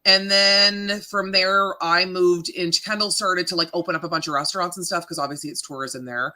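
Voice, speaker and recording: A woman in her thirties from Canada with a deep voice, a radio morning host chatting casually in an interview, laughing often and filling with ums. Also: fairly even intonation, fairly quick, bright, forceful tone. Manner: chatty, laughing, self-deprecating, many fillers.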